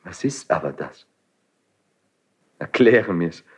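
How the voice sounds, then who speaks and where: Proud, impassioned young prince, husky. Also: male voice, sits low, lively intonation, slow, exaggerated voice. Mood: proud, bitter. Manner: theatrical, declamatory, proud. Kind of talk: classical German stage verse radio drama acting.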